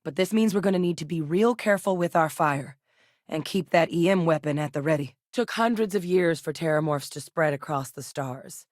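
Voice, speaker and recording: Actress for a low-voiced, no-nonsense scientist-officer in a game, matter-of-fact, dry studio read. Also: female voice, a little mellow, dry recording, lightly acted. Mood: steady. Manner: measured, clipped, matter-of-fact. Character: composed woman scientist with military past. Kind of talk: video game voice lines.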